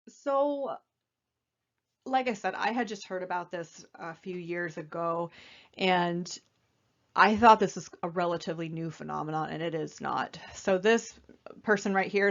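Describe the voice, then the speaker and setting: Slightly raspy young woman presenting slides. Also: on the low side, highs cut off. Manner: casual, conversational, frequent fillers. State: raspy, recovering from illness. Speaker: student presenter.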